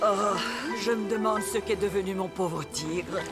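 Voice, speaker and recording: A woman's voice: medium pitch, a little slow, lightly acted. A woman playing a wistful, theatrical lady in a dubbed cartoon, plaintive and dramatic. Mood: wistful, worried. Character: wistful, theatrical lady fretting over lost pet. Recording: loud music behind.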